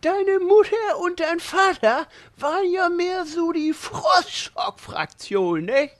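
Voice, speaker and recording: An aged, retired warrior in a comic audio drama. The voice is extremely high and strained, and it drags slowly as he grumbles suspiciously about everyone and harks back to his glory days. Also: animated, heavily acted. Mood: grumbling, nostalgic. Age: older. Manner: grumbling, loud complaining. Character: cranky old retired warrior uncle, suspicious and nostalgic. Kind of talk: parody audio drama acting.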